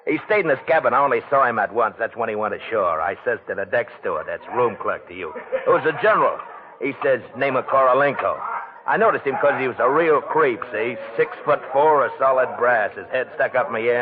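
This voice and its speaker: A man's voice: medium pitch, close to an everyday voice. A salty dockside bartender voiced by a man rambles in gabby, slangy talk. The sound is thin and tinny like an old radio broadcast, with a crowd murmuring around him.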